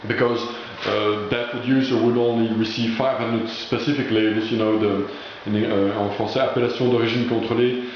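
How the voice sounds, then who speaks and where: Guy with a lowish voice explaining a product, searches for words, super echoey room, muffled sound. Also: flat delivery, a little fast, hardly any pauses. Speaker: product presenter explaining label machinery. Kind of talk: informal product demonstration. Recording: echoey dull room recording, faint noise.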